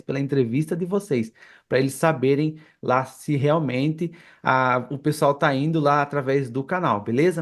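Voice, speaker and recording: A Brazilian man hosting an interview podcast in a casual, conversational style. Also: fairly high pitch. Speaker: podcast host.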